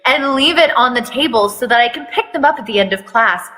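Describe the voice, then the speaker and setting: A male high school teacher in a story reading, played by a woman, hands out instructions in a matter-of-fact manner. The voice is bright and raised in pitch, ringing with long reverb. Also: lively intonation, fairly quick. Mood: instructing.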